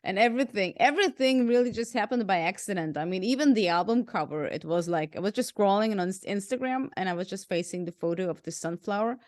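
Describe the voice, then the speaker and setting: A woman's voice: mid-range voice, lively intonation, quick pace, hardly any pauses, darkish tone, no echo. Hungarian-German accented woman, giggly. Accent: mix of Hungarian and German. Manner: laughs often, lots of "like". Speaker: guest musician. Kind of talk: casual interview chat.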